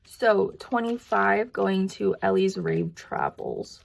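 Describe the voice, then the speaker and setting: A woman vlogger talking casually and thinking aloud as she works, her voice croaky and tired from long talking. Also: medium pitch, huge pitch swings, slow, dull audio. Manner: rambling, thinking aloud, counting to herself. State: voice tiring after long filming. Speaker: budgeting vlogger. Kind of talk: casual chatty hands-on narration.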